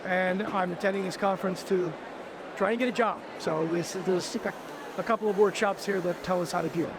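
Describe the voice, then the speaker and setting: A man's voice: high voice, fairly even intonation, fairly quick. An older man from New City, answering enthusiastically with stammering restarts. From New York City. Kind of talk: casual interview answers. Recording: loud crowd and noise at a fair.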